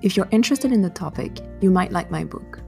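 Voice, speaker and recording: Gravelly woman reading a podcast intro. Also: lowish voice, lively intonation. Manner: measured, reading a prepared welcome. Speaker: podcast host. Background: soft guitar music.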